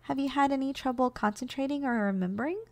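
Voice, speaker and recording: A woman playing a gentle, doting giantess secretary in an ASMR role-play, coaxing and nurturing in manner. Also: sits high, fast talker, slight background noise. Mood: calm, soothing. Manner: soft, coaxing, nurturing, teasingly affectionate. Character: gentle, doting giantess secretary caring for her shrunken boss.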